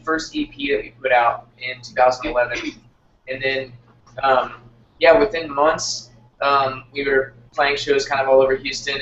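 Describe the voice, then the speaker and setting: A man's voice: mid-range voice, a bit bright. A young man from Texas in a casual band interview, speaking in hesitant, searching phrases full of fillers. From Texas, United States. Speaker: band member being interviewed. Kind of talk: casual chat. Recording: roomy, distant, dull, noisy group recording.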